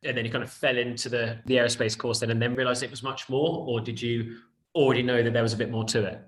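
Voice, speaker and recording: A man's voice: medium pitch, flat delivery, quick pace, fairly bright tone. A man hosting a podcast, asking loosely worded, rambling interview questions. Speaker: podcast host.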